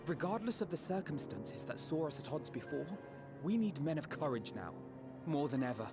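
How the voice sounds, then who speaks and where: A man playing an earnest, courteous young scholar-hero in a fantasy game, speaking in measured formal phrases. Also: fairly high pitch, very animated. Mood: earnest, resolute. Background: orchestral game music.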